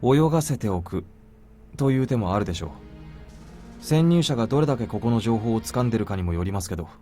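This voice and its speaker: An actor playing a restrained, businesslike soldier bodyguard in a Japanese visual novel, deferential but blunt. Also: male voice, mid-range voice, lively intonation, racing, dark tone, natural voice. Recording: game music under the voice.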